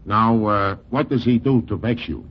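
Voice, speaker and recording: A pushy New York fight-promoter schemer from a 1940s radio comedy. The voice is husky and lively, full of persuasive, formal slang. It sounds like a dull old broadcast. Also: male voice, on the low side, moderate pace. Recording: dull, band-limited 1940s radio recording.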